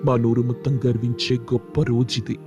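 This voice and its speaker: A man's voice: mid-range voice, little pitch movement, moderate pace, dull audio, music in the background, lightly acted. A man playing a proud villager making an admiring announcement in a moral story. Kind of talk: dramatised moral story acting.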